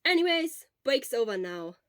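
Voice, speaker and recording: A woman's voice: sits high, very animated, normal speed, no room sound, studio quality, voice pushed up. A woman playing a cheerful, teasing young man, an exuberant best friend in an audio story.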